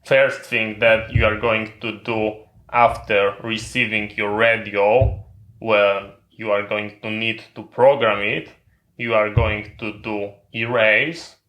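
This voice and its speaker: A man presents a hands-on tutorial in an unhurried, very even voice with a crisp, bright edge. His English has non-native phrasing, and he explains each step methodically. The room adds a noticeable echo. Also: lowish voice. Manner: methodical, instructional. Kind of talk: step-by-step hands-on equipment demonstration. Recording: roomy, echoing sound.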